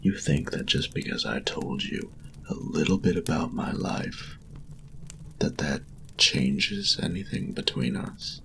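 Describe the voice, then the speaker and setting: Man playing a very deep, gravelly, smug bully. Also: wildly swooping pitch, background noise, exaggerated voice. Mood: dismissive. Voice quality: gravelly, often dropping to a whisper. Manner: taunting, breathy, drawn-out delivery. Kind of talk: intimate roleplay audio acting.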